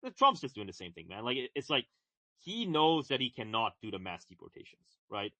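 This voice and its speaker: Co-host, young man from Bangladesh, bright forceful tone, dry close sound, animated and repetitive. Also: mid-range voice, brisk, no room sound. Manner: emphatic, repeats words, many "right?" and "like". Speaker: podcast co-host. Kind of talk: casual political chat.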